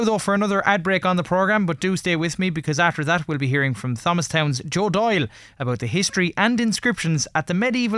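A man's voice: fairly high pitch, a bit bright. An Irish man hosting and interviewing on radio, with polished, formal presenter phrasing.

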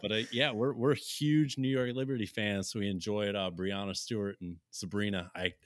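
A laid-back man of thirty chats on a sports podcast, rambling a little and doubling his words as he goes. His voice is low, and it is picked up close and dry with no room around it. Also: steady tone, normal speed, dry recording. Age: 30s. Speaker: podcast co-host. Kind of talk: casual sports podcast chat.

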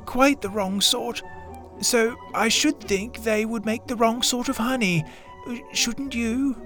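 A performer voicing an earnest, self-important toy bear in a children's readers theatre story, speaking deliberately and solemnly with a gently anxious air. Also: medium pitch, faint music behind. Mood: decided.

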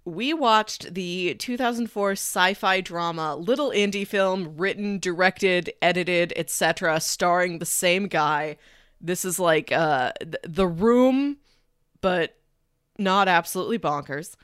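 A woman's voice: on the low side, a little slow, fairly bright tone, smooth, no echo, clean studio sound. A woman co-hosting a podcast, chatting casually about movies. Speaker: podcast co-host. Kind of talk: casual movie discussion chat.

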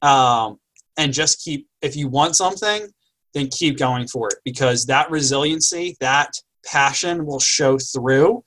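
A man co-hosts a relaxed talk and reflects on his own setbacks. His voice is bright and forward, and his pitch sits in the middle. He tells his story in an easy, steady way. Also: unhurried. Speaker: podcast co-host. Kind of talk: casual advice chat.

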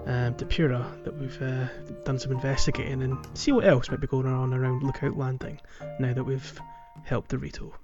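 A man hosts a casual gaming podcast, thinking aloud in a halting, conversational way. His voice sits at an average pitch. The audio is slightly muffled, with music clearly playing behind him. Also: fairly even intonation. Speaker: podcast host. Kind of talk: casual video game walkthrough chat.

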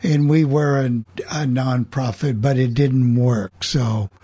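A man hosting a casual radio panel discussion, talking in a halting, repetitive way. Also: mid-range voice, steady tone, dark tone, slightly rough, no echo. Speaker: host of an online radio coffee club show.

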